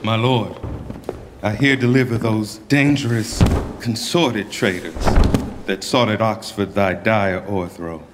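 A man's voice: fairly low pitch, fairly even intonation, slow. An actor playing a grave lord who formally declaims his report in a Shakespearean radio play. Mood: dutiful. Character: grave lord presenting captured traitors. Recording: reverberant hall, clear background noise.

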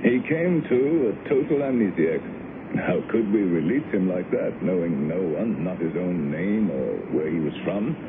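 An American actor playing a calm, self-justifying doctor and research scientist in a radio drama, explaining himself in measured, matter-of-fact tones. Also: male voice, deep voice. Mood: grave, explanatory. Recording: noisy, narrow-band old radio broadcast.

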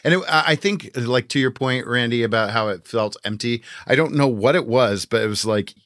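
A man's voice: mid-range voice, a little fast, a bit bright, no echo, clean studio sound. A man co-hosting a podcast in a casual, conversational style. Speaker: podcast co-host reviewing audio dramas. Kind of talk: casual chat.

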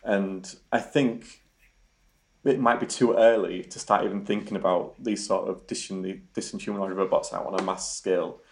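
A man's voice: low-pitched, fairly even intonation, moderate pace. A young man with a Yorkshire accent, a researcher answering interview questions in a hesitant way, full of ums and repeated words. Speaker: robotics researcher guest.

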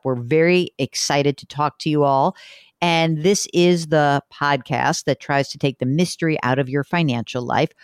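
A woman from New York hosting a podcast in casual chat, animated, wry and self-deprecating. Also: low-pitched, dry recording, studio quality. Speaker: podcast host. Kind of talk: casual chat, audience address.

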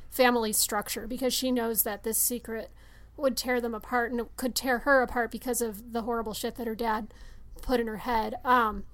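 A woman with a fairly high voice that stays rather even in pitch. She talks through a film in a casual, earnest way, analysing it informally as a podcast panelist. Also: moderate pace.

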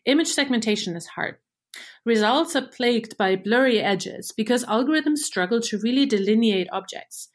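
A woman's voice: a little high, expressive, moderate pace. German-native woman explaining machine learning. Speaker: research engineer. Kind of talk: scripted explanation.

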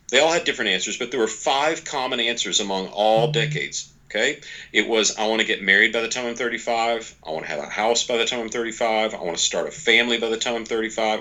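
A man's voice: medium pitch, sharp tone, low-quality recording. A man in his forties speaking as a podcast guest, explaining ideas conversationally in a folksy, emphatic way with repetition and quoted asides. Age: 40s. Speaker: podcast guest, author and organization leader.

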